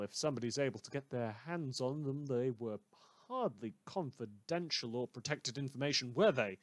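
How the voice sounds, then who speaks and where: A man playing a morbidly amused radio host in a fan audio drama, rambling hesitantly with dark, wry mock concern. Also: fairly high pitch, dry recording, close to an everyday voice. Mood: dry, amused.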